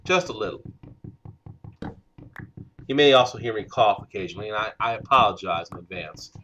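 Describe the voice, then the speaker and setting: A man hosting a game let's play, stuffed up from a cold, talking slowly with many hesitant fillers. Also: on the low side, animated, sharp tone, lo-fi sound, slight background noise. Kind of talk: casual gameplay commentary.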